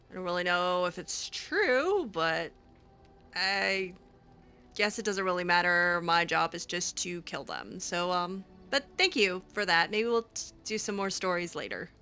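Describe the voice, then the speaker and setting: A woman improvising casual in-character game roleplay, speaking in a halting, awkward but expressive way. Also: lowish voice, clean tone. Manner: halting, awkward, expressive, breaks into laughs and sighs. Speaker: roleplay gamer, series host.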